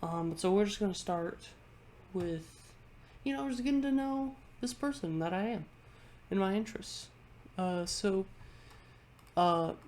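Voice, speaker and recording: A woman's voice: lowish voice, creaky, darkish tone, slight background noise. A congested woman vlogger chatting casually into her webcam at home, rambling and often restarting her sentences. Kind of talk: casual chat ranking things on a tier list.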